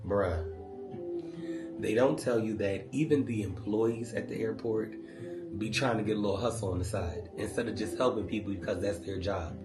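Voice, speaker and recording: A man vlogging, telling a casual travel story in an animated, rambling way full of fillers. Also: fairly low pitch, normal speed. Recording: echoey room with music behind.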